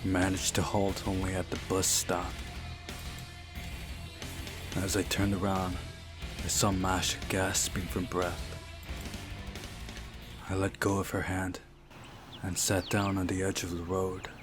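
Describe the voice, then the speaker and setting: Slow-paced man narrating, low voice. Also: monotone. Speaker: visual novel playthrough narrator. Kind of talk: scripted narration, reading aloud. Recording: music behind the voice.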